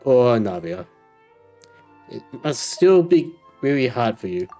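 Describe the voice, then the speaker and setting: A woman's voice: extremely low pitch, sing-song, slow pace, mellow. A woman streaming a game with casual, dry, teasing commentary, faint game music behind her.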